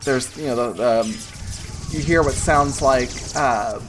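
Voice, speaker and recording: A woman's voice: very deep voice, a little slow, music in the background. A woman narrating as game master of a tabletop game, describing scenes in a rambling way full of restarts and fillers.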